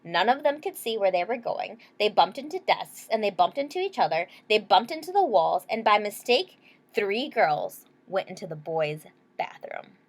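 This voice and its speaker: A woman's voice: medium pitch, sing-song, moderate pace. A woman reading a children's picture book aloud expressively, with explanatory asides to young listeners. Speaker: storybook reader.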